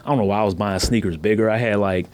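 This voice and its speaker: A man's voice: on the low side, fairly even intonation, rapid-fire, darkish tone. A man from Louisiana, telling personal stories in a casual, slangy way as a podcast guest. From Baton Rouge, Louisiana, USA. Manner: slangy, conversational. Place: podcast studio.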